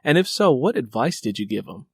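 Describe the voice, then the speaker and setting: A man's voice: mid-range voice, big pitch swings, fairly quick, husky, no room sound. Dry-sounding man hosting a casual interview. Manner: effusive, repeats words for emphasis. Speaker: interviewer, host and writer.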